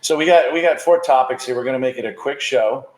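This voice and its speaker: A man's voice: medium pitch, quick pace. A man co-hosting a casual, unscripted political discussion, with a wry way of slipping in quips. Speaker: co-host of a political discussion show. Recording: roomy, echoey sound.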